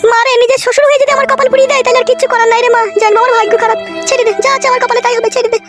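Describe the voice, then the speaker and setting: Squeaky, aggrieved cartoon young wife. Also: female voice, level tone, very fast, over loud music. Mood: self-pitying lament. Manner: complaining, lamenting, rustic slang. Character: aggrieved, self-pitying young village wife. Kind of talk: comic cartoon dialogue.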